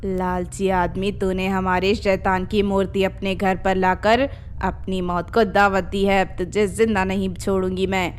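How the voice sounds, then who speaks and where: Lady doing an angry witch for a horror story, lower voice, threatening and scolding, slow. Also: flat delivery, soft background music, natural voice. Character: angry, menacing female witch out for revenge.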